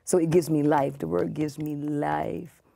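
A woman in her sixties, a pastor talking as a guest in a casual interview, emphatic and repeating her points, often dropping soft and breathy. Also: low voice, flat delivery, a little slow. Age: 60s.

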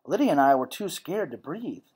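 A man reads a storybook aloud in an expressive way. His voice is a little high for a man and somewhat husky, with lively rises and falls as he tells the story. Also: moderate pace. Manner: expressive storytelling with dramatized dialogue. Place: indoor classroom. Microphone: webcam or laptop at desk distance.

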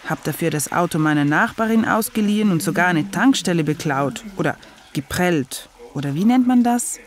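A woman's voice: lowish voice, lively intonation, normal speed, natural voice. An actress playing a broke young woman who runs her own small agency and narrates her troubles with sarcastic asides. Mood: wry. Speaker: narrator and lead.

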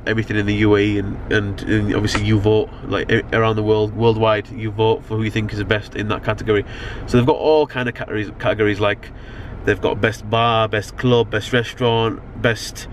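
A man's voice: on the low side, little pitch movement, a little fast, few pauses. A young British man chatting casually to camera in a car, rambling and slangy, often checking the listener follows. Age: young adult. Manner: rambling, slangy, frequent "you know what I mean". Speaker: vlogger. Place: inside a car at night. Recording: car ambience, clear background noise. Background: car and outdoor urban noise.

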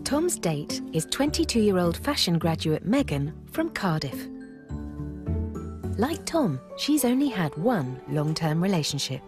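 A woman reading scripted documentary narration with a smooth, expressive delivery. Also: lowish voice, unhurried. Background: music.

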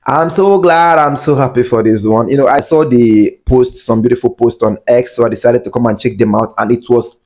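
A man's voice: mid-range voice, a little mellow. A young man hosting a video channel, speaking earnestly into a handheld mic and repeating his points for emphasis. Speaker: channel host. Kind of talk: casual vlog commentary to camera. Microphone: handheld mic close to face.

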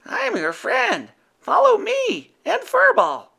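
Storyteller voicing a high, kind young werewolf. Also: wildly swooping pitch, takes it slowly, vocal fry, heavily acted. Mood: reassuring. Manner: gentle and reassuring. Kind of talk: storybook reading.

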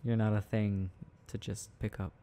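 Man acting a sweet, low, gravelly boyfriend. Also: level tone, natural voice. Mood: tender, earnest. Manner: soft, tender, hesitant, halting. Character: sweet, shy, devoted young boyfriend. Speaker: ASMR roleplay voice actor. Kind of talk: intimate boyfriend ASMR roleplay, casual.

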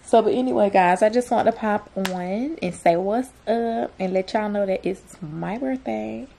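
A young woman chatting casually to camera and to her child as a vlogger, in informal, slangy, drawn-out phrases. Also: mid-range voice, creaky, warm tone, slight background noise. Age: young adult. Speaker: vlogger, mother. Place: small plain room indoors.

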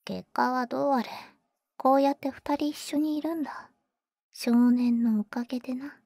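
A woman playing a devoted, tsundere female vampire in a whispered Japanese ASMR roleplay, speaking haltingly and bluntly, very close to the microphone. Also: high voice, fairly even intonation, dark tone, no echo. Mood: relieved, soft. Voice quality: breathy, whispered. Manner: halting, murmured, blunt boyish phrasing. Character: protective, tsundere older-sister female vampire, tenderly devoted. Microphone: very close, dry ASMR microphone.

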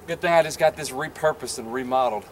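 Goofy young guy clowning around outside, camera mic, clear background noise. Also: mid-range voice, fast. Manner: playful, clowning, guessing out loud. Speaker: explorer in a junkyard video. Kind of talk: casual joking banter. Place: outdoor rural junkyard.

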